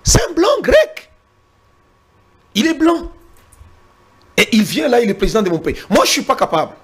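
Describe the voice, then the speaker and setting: Male guest from Congo, emphatic debater with a husky, animated voice. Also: very high voice, normal speed. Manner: emphatic, repeats words when pressed, cites the Bible. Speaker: interview guest. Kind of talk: heated political debate.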